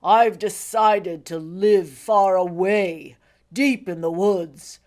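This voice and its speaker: A woman voicing a lonely, wounded male creature made from the dead, calling out loudly and slowly in a storybook reading. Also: lightly acted. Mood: sorrowful. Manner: loud, pleading, slow booming calls.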